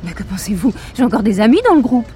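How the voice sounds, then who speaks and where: Actress as haughty, fussy woman with a mellow tone. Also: medium pitch, animated, quick pace, slight background noise, close to an everyday voice. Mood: complaining, indignant. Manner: condescending, prim, indignant. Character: haughty, fussy, talkative female police witness. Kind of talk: naturalistic French fiction podcast acting.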